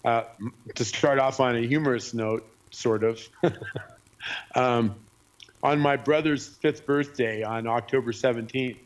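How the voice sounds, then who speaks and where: A man speaking as an interview guest, recounting his life story haltingly with many fillers. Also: medium pitch, steady tone, low-quality recording. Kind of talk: personal storytelling.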